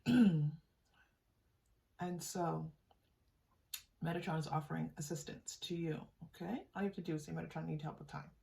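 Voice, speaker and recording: A woman giving an improvised spiritual tarot reading straight to the viewer, in a halting, conversational way that often seeks agreement. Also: low-pitched, gravelly, warm tone, recorded from a distance, low-quality recording. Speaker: tarot reader and channel host.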